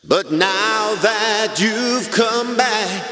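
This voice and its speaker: Male amateur ballad vocalist, high register, a cappella, sustained notes, roomy reverberant recording. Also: extremely slow, bright, forceful tone, faint music behind. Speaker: amateur singer. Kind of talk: a cappella singing of a slow rock ballad.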